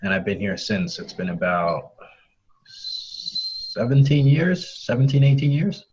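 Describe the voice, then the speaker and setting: A man's voice: mid-range voice, sing-song, a little slow, darkish tone, dull audio, slight background noise. A man in his twenties with an American accent, hosting a casual interview in an easygoing, reflective way. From born in West Africa, raised in the United States. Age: 20s. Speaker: podcast host.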